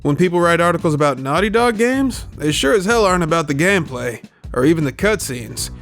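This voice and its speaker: Higher-pitched man, emphatic essay narration. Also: expressive, a little fast, fairly bright tone. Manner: emphatic, sweary, colloquial. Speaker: video essay host. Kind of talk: scripted opinionated video essay narration. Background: music.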